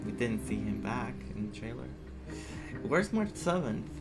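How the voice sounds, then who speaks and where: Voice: mid-range voice, sing-song, a little slow, gravelly. A game streamer giving casual playthrough commentary, thinking aloud and reacting to the story. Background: game music.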